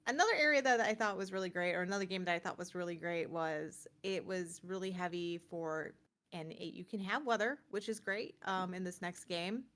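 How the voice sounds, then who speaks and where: A woman's voice: lowish voice, normal speed, fairly bright tone. A woman co-hosting a games show, moving between casual chat and scripted review narration. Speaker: show co-host and game reviewer.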